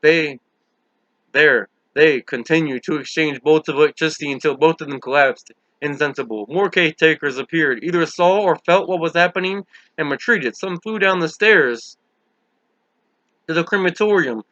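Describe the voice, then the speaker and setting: Bright, forceful male audiobook narrator. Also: on the high side, normal speed, dry recording, dull audio. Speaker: audiobook reader. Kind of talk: scripted dramatic narration.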